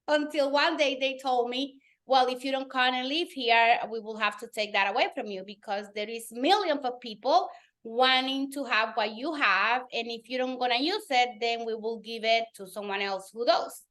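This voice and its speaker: A woman's voice: on the high side, moderate pace, a bit bright. A woman from the Dominican Republic, a native Spanish speaker with a Dominican accent, talking animatedly as a guest in a webinar conversation. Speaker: guest real estate team leader.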